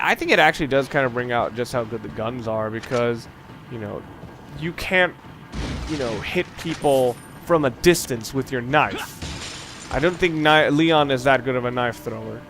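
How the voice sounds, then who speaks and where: A man's voice: mid-range voice, fairly bright tone. A man giving casual, unscripted video game commentary as a let's play host, rambling into musing, dryly humorous asides. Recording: clear background noise under the voice.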